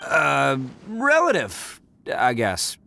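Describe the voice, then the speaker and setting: An actor playing a brash, big-hearted young hero in a video game, with a showy, boisterous manner. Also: male voice, medium pitch, huge pitch swings, very slow, vocal fry, sharp tone, slight background noise, heavily acted. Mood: awkward, confident. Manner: boisterous, showy, self-satisfied.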